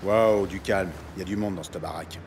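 An actor playing a hard-bargaining, territorial New York cop in a French audio drama, blunt and wary. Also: male voice, deep voice, fairly even intonation, a little fast, noisy background. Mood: wary, firm. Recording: loud music and street ambience behind.

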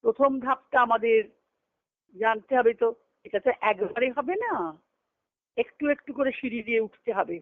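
A woman's voice: a little high, expressive, moderate pace, long pauses, dry recording, phone-quality sound. A woman giving a spiritual discourse in a didactic, emphatic way, explaining line by line and repeating key phrases over a phone conference call.

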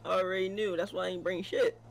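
A man's voice: sits high, level tone, fast talker, gravelly. An American man improvising roleplay in a video game, talking in a slangy, defiant way. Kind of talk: in-character improvised roleplay chat.

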